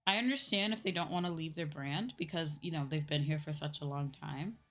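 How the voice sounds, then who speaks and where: Female streamer, low register, informal and explanatory, talking to chat over faint music. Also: a little fast, a bit bright. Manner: informal, explanatory, often repeats points and checks with "right?". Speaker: streamer, VTuber host. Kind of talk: casual livestream chat answering viewers.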